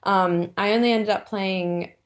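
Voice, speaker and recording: A young Australian woman in her twenties, a golfer, answering interview questions in a relaxed, chatty way with lots of "um" fillers. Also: medium pitch, measured pace. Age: 20s. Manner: chatty, many "um" fillers, laughs often. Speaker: pro golfer guest.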